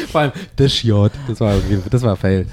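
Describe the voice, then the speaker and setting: A man from southwest Germany co-hosting a podcast in casual, joking banter with friends, playful and quick to mimic. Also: lowish voice, a little mellow. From southwest Germany (Swabia, Baden-Württemberg). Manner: playful, jokey, prone to singing and mimicry. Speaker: podcast co-host.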